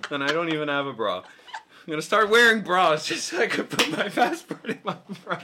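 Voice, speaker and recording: A man's voice: fairly high pitch, lively intonation, brisk, noisy background. A man hosting a casual podcast chat, joking and teasing his guest, often laughing through his lines. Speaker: podcast host.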